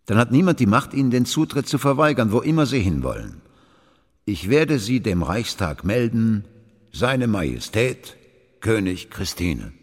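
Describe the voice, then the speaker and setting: A stern, cautious royal councillor in a radio drama, very low and husky. He admonishes formally and with measured weight, his pitch moving widely up and down. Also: big pitch swings. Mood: solemn, proclaiming. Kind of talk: radio play acting. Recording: echoing hall with long reverb.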